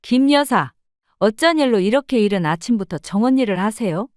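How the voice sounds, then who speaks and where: A woman voicing a kindly neighbor woman who speaks with warm, expressive sympathy. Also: a little high, normal speed, no echo, clean studio sound, lightly acted. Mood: curious.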